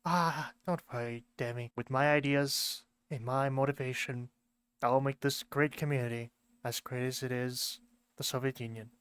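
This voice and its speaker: A man voicing a pompous, grandiose communist leader in a put-on foreign accent that keeps changing, declaiming slowly. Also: mid-range voice, steady tone, fairly bright tone, clear, resonant tone, no room sound, natural voice. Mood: scheming. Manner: grandiose, slow declaiming speeches. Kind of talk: amateur lore video skit.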